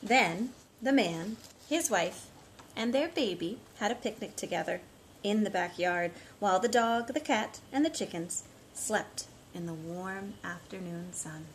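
Thirties woman doing storytime for little kids, expressive, phone in a living room, bit of background noise. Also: medium pitch, a little slow. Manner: expressive, simple phrasing addressed to small children. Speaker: children's storytime reader and teacher. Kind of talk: storytime read-aloud and talk to young children. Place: living room at home, indoors. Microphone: phone at about arm's length. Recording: noisy homemade phone recording.

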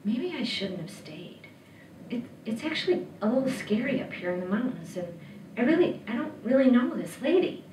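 A woman's voice: very animated, moderate pace, darkish tone, slight background noise. A woman voicing a frightened young boy in a folktale, anxious and pleading. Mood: uneasy. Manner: high, anxious, stammering, pleading. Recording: roomy echo, distant microphone.

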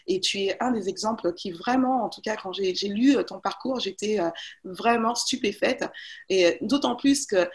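A woman from French Guiana hosts an interview show over a video call. She talks conversationally at a middle pitch, hesitating as she goes, with an enthusiastic manner. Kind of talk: interview hosting, conversational introduction.

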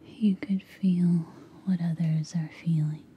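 A woman playing a seductive, possessive forest witch in a soft, breathy ASMR roleplay, speaking soothingly and hypnotically up close to the microphone. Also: on the low side, fairly even intonation, muffled recording, exaggerated voice. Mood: coaxing, intimate. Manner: slow, soothing, hypnotic delivery with long pauses.

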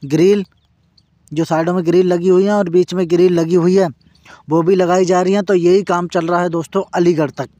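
Chatty high-voiced male vlogger. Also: level tone, a little fast, dark tone, dry recording, muffled recording. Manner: chatty, keeps addressing friends. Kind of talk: casual travel vlog narration.

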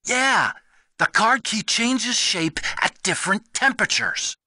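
Eager, bright-voiced young tech adviser. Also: male voice, sits high, animated, a little slow, no room sound, heavily acted. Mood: earnest, urgent. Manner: earnest, explanatory. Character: eager young male technical adviser over radio. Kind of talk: expository video game voice acting.

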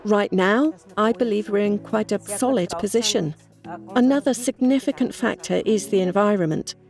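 An older woman who owns a restaurant, speaking in a measured, reflective way in an interview. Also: medium pitch, darkish tone. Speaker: restaurant owner. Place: indoor wooden restaurant. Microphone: lapel.

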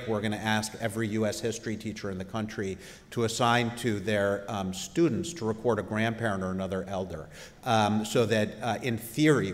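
A man in his fifties giving a conference keynote in a halting, hesitant way at a podium microphone. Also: fairly low pitch. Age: 50s. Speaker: keynote speaker. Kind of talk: conference presentation. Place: large indoor conference hall stage. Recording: reverberant conference hall.

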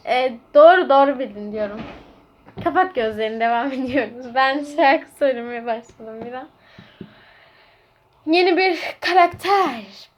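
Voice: sits high, expressive, unhurried, slight background noise. A young-sounding host casually chatting to viewers, prompting a co-player through a homemade guessing game. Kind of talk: casual playful chat to viewers.

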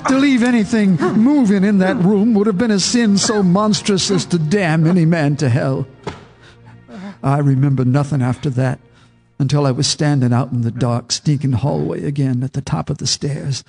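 A grave horror narrator. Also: male voice, on the high side, creaky, darkish tone, slightly rough, faint music behind. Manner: grave, measured storytelling. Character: haunted male neighbor telling a horror tale. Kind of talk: radio drama narration.

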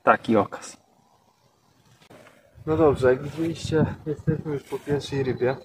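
A man's voice: on the high side, a little slow, a little mellow, low-quality recording. A young man casually talking through his fishing session outdoors by a canal, explaining his gear step by step. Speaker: angler vlogger. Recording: outdoor noise, nature sounds behind.

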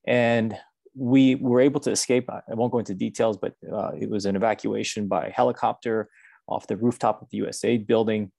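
An American man in his forties giving a measured, explanatory academic lecture over a video call. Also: lowish voice, steady tone, gravelly, darkish tone, dry recording. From United States. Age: 40s. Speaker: university professor giving a research seminar talk. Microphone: video call microphone, seated close to webcam.

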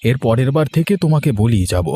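Man acting an earnest, mellow-voiced younger brother. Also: mid-range voice, animated, fast, breathy edge, dry recording. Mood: eager, apologetic. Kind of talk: cartoon dialogue, moral story.

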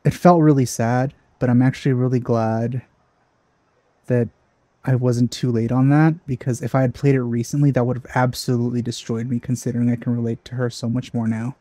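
A man's voice: medium pitch, flat delivery, brisk, dark tone, no echo. A man giving casual let's play commentary as a gaming host, musing and hesitant. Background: game music.

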